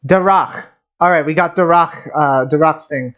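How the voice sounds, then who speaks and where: A host talking casually and a little haltingly, reacting to a video game as commentary. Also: medium pitch, fairly bright tone. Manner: halting, hesitant, giggly.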